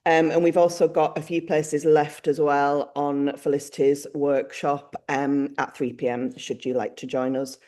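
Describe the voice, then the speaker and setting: A woman's voice: low-pitched, level tone, unhurried, few pauses, mellow. A woman presenting upcoming events informally, chatty and playful with a self-deprecating touch. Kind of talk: informal live event update.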